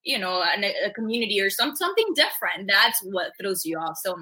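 A woman's voice: medium pitch, lively intonation, brisk, bright, forceful tone. A woman from Cuba, a journalism student, chatting casually and laughing as she tells stories. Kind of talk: casual chat.

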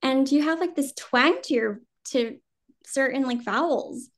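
Woman, thirties, American accent, Vietnamese as first language, relaxed rambling interview talk, somewhat high voice. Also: unhurried. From New Jersey, US. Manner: relaxed, rambling, many "um" and "like", laughs easily. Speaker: guest actor. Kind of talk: casual interview chat.

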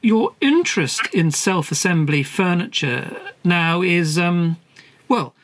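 Halting dry podcast host. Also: male voice, sits high, expressive, fairly bright tone. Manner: dry, halting, with many fillers. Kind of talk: scripted comedy podcast interview.

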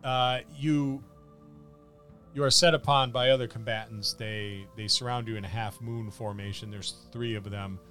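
A man working as a game master, improvising halting, descriptive narration of a tabletop fantasy battle. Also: medium pitch, fairly even intonation, a bit bright, faint music behind.

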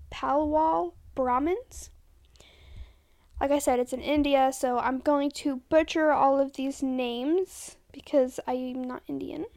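A woman's voice: sits high, creaky, a little mellow. A woman co-hosting a podcast, reading history notes in a casual chat and stumbling over names as she corrects herself. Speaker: podcast co-host.

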